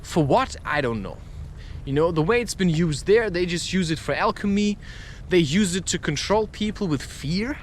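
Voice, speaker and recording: Man, high voice, loose rambling monologue, musing and questioning, outdoor traffic noise. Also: animated, moderate pace. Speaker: podcast host.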